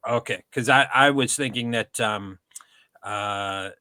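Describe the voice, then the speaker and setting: A male podcast host with a bright, forward voice, recorded dry and close to the mic. He speaks haltingly in casual chat, stumbling and restarting as he goes. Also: mid-range voice, no room sound.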